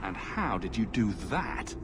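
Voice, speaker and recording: An actor playing a composed, practical adventurer and party leader in a video game, serious and measured. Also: male voice, lowish voice, sing-song, heavy background noise. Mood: questioning. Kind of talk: dramatic video game dialogue.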